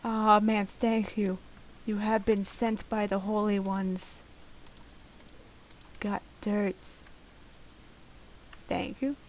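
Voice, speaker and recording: A woman's voice: medium pitch, level tone, very slow, pauses often. A young woman giving casual, rambling, self-deprecating commentary while playing a game, recorded at home. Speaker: gaming video host. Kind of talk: casual Let's Play commentary of a survival game. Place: at home, late at night.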